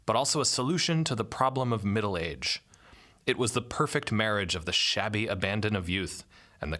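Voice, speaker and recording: A man's voice: on the low side, sharp tone. A man reading a literary essay aloud as scripted narration.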